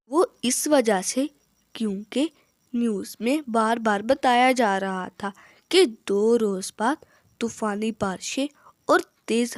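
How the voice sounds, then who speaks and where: Woman, children's story narrator, high pitch, unhurried delivery, scripted. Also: a little mellow, no room sound, studio quality.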